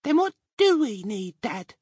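A man voicing an excitable small young male fox cub in a storybook reading, squealing with breathless excitement. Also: very high-pitched, measured pace, breathy edge, dry recording, studio quality, pitched above the performer's own voice, heavily acted. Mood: curious.

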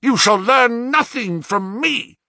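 A man playing a proud, indignant young son, forceful. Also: medium pitch, big pitch swings, normal speed, dry recording, clean studio sound. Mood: defiant, passionate. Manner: defiant, formal, passionate.

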